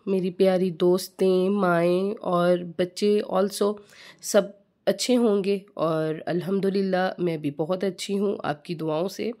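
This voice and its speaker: A woman with a slightly hoarse throat, giving a chatty home tutorial for mothers that mixes in English words. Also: on the low side, fairly even intonation, measured pace, dark tone. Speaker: home teaching channel host. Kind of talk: casual home tutorial talk.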